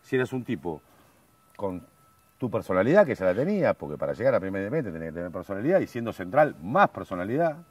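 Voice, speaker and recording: A man's voice: fairly low pitch, very animated, fast, breathy edge, dry recording, far from the mic, highs cut off. A man with a Rioplatense Argentine accent hosting an outdoor interview, prompting his guest and finishing his sentences. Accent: Rioplatense Spanish. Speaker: host. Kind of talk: interview chat. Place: outdoor garden cooking set.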